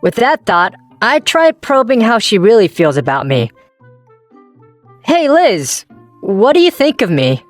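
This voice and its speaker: A woman's voice: a little high, sing-song, a little slow, no room sound, faint music behind. A woman voicing a young male office worker and ex-boyfriend in a manga dub, introspective and wryly self-deprecating, narrating and talking in character. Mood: probing, guarded.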